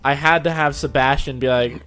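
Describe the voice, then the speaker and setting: A man chatting casually as a channel host, telling stories in an animated way and often laughing as he talks. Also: medium pitch, moderate pace, clear, resonant tone. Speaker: host of a fan fiction narration channel. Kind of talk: casual chat.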